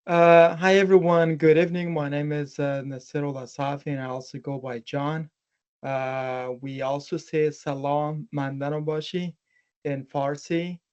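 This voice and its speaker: A man's voice: fairly high pitch, level tone, measured pace, a little mellow, clean tone, lo-fi sound. A man introducing himself on a video call, earnest, with frequent fillers. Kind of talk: self-introduction.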